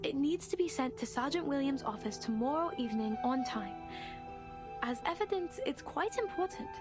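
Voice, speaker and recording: A young woman in a story-driven video game, plucky and wry with an undertow of grief for her father. Her voice sits a little high, and music plays clearly underneath. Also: animated, moderate pace. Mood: reflective, confiding.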